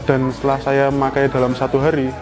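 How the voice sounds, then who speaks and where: A man's voice: mid-range voice, completely flat delivery, fast talker. A man from Surabaya giving a spoken product testimonial as a customer. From Surabaya, East Java, Indonesia. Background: background music.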